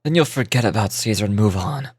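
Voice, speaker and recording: A man voicing a gruff, irritable retired magician who talks in a curt, condescending way. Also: on the low side, expressive, quick pace, a little mellow, voice pushed down. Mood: stern.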